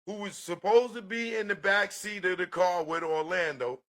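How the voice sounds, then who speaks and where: A man's voice: high-pitched, normal speed, fairly bright tone. A man talking argumentatively, laying out details point by point.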